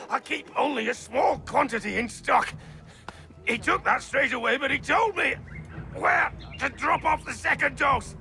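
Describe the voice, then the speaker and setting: Panicky coward shopkeeper from a video game, super high strained voice, begging. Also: male voice, gravelly, bright, forceful tone, heavily acted. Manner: panicky, pleading. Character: terrified cowardly male apothecary, pleading and frantic. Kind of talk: video game dramatic acting.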